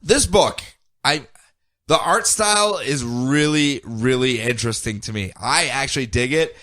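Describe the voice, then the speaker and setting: A man co-hosting a comic book podcast, recapping the week's issues with a loose, joking delivery. His pitch sits in an ordinary middle range. The sound is dry and close, with no room around it. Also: lively intonation, measured pace, pushed and bright, no echo. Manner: jokey, puts on silly voices for characters mid-recap. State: groggy from medicine. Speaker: podcast co-host.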